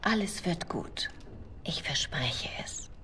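Low-voiced, secretive female spy. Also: animated, slow, sharp tone, in a whisper, full character voice. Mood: cool, reassuring. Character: smooth, confident, secretive female spy and lover. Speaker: voice actress in a video game. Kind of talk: German fantasy game dubbing.

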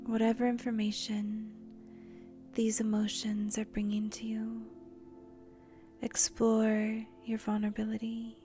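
A woman leading a guided meditation in a soft, breathy, near-whispered voice, gentle and soothing, with long pauses between short phrases. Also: medium pitch. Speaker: meditation guide, channel host.

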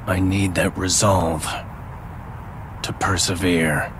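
A man playing a cold, stoic young lone-wolf warrior in a video game, terse, aloof and deadpan. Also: low-pitched, slow, slight background noise. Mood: curt resolve. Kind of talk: video game cutscene acting. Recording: loud music behind the voice. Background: game music.

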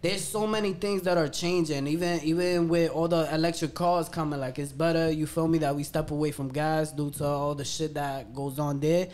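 A man's voice: fairly high pitch, steady tone, moderate pace, few pauses, darkish tone. A man in a casual group podcast, talking emphatically in heavy slang and preaching about money and power. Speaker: podcast guest co-host.

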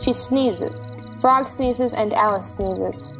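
A woman's voice: a little high, takes it slowly, a bit bright, faint music behind, rough recording. A woman narrating stage directions from a script for a storybook play.

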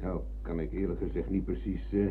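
Weary older party man, played by an actor, lowish voice, hesitant radio-play muttering, muffled narrow-band recording. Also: level tone, a little fast, slight background noise, natural voice. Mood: muddled. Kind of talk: Dutch radio play acting.

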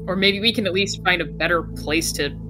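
Female tabletop player, low register, casual chat, clear music underneath. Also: a little fast, rough recording.

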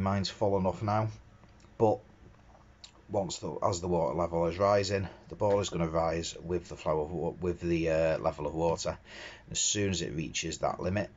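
A middle-aged man giving a casual hands-on how-to talk as a tradesman, recorded on a handheld phone in a small enclosed work space. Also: deep voice. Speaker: window cleaner demonstrating a fitting. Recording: dull phone recording with background noise.

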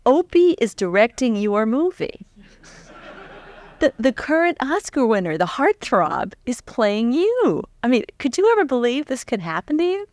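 A woman's voice: on the high side, sing-song, normal speed, dry recording. An American woman in her forties interviewing a guest on stage in an informal, conversational way with some restarts. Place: stage before a live audience. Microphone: large windscreened microphone close in front.

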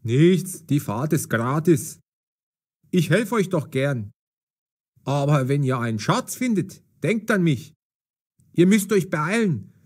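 A man playing a brisk working man in an audio story, speaking in clearly enunciated lines. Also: on the high side, very animated, a little mellow, heavily acted. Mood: helpful. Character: taxi driver and cemetery guard, brisk adult man. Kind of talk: scripted audio story for language learners.

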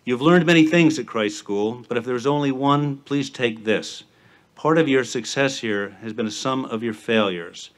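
A middle-aged man, a school headmaster, giving a formal commencement address at a podium outdoors, speaking in measured, rhythmic lists. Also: mid-range voice. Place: outdoor stage at a campus ceremony. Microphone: podium microphone with PA.

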